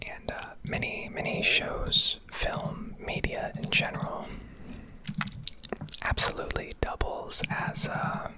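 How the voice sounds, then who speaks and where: A woman's voice: slow pace, rough recording. A young American woman whispers a casual, reflective ASMR ramble close to the microphone while gaming. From United States. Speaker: ASMR streamer.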